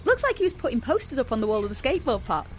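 An actress playing a chirpy, compliant young citizen of a forced-happy society in an audio drama. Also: female voice, a little high, sing-song, rapid-fire, slight background noise. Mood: chirpy, uneasy.

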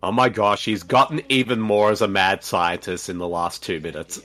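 A man co-hosting a casual movie-riffing chat, excitable and laughing often. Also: on the low side, normal speed. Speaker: co-host.

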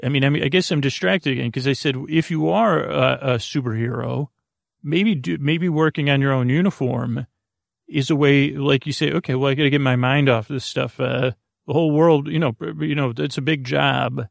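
Man, podcast host, creaky gravelly voice, close dry sound, meandering bedtime monologue, halting and stammering. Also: medium pitch, dry recording. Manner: meandering and digressive, halting with stammers and repeated words.

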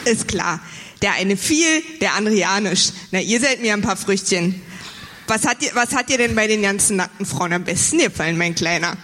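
A man's voice: high voice, expressive, normal speed, sharp tone, in a large hall, a little hiss or hum. A man playing a brash, crude, flirty woman driver in a comic radio play. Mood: teasing, brazen.

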